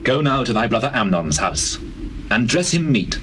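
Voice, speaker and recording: A man playing a dignified, fatherly king in a Bible drama, speaking archaic lines with measured authority. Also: medium pitch, sing-song, a bit bright. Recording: faintly noisy old cassette tape.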